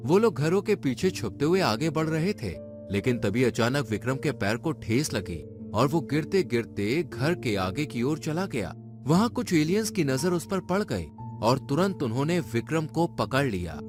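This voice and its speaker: A man's voice: mid-range voice, very animated, normal speed. A man narrating a scripted story in a dramatic style. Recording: music behind the voice. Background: music.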